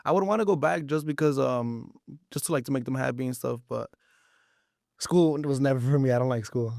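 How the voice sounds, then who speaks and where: A man's voice: mid-range voice, brisk, creaky, a little mellow, dry recording, studio quality. A man of about twenty, chatting casually as an interview guest in slangy English mixed with Spanish. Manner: slangy, informal, frequent swearing.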